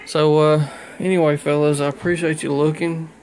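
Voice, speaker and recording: A man's voice: on the high side, level tone, moderate pace, a little mellow, slight background noise, poor audio. A man casually showing off his own radio gear indoors in a folksy, colloquial way. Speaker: hobbyist CB radio operator. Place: small room indoors. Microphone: handheld phone camera behind the lens.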